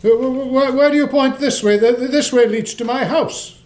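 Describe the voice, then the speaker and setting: Radio drama lead: repentant old miser, high-pitched, stammering, theatrical. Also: male voice, moderate pace, darkish tone. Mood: fearful. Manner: stammering, breathless exclamations. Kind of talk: stage-like radio play acting.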